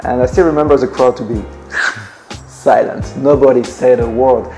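French accent guy talking to camera at home, reflective and earnest, soft music under it. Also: medium pitch, normal speed, rough recording. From Ivory Coast. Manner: earnest, reflective, many fillers. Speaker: travel blogger. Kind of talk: personal story to camera. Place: living room indoors. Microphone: camera at desk distance.

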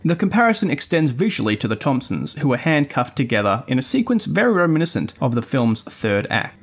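A man narrates a scripted podcast review at a middle pitch. His delivery is measured and articulate, with formal wording throughout, like an essay read aloud. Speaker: podcast host.

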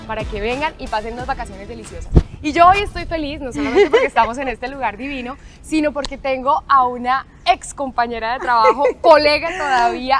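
A woman hosting an outdoor talk show, effusive and laughing often. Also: high-pitched. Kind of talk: lively interview show hosting. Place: outdoor resort garden terrace.

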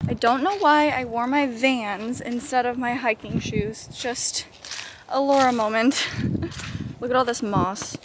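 A young woman in her twenties vlogging casually to a handheld camera, chatty and quick to startle. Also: on the high side, steady tone, loud music behind the voice. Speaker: outdoor vlogger. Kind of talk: casual vlog narration. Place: motel room, then outdoors in a pine forest. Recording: noisy handheld camera audio.

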